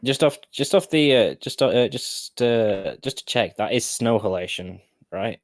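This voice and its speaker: A man narrating a story as an amateur, halting and often correcting himself. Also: medium pitch, expressive, no echo. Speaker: narrator of fan fiction storytime.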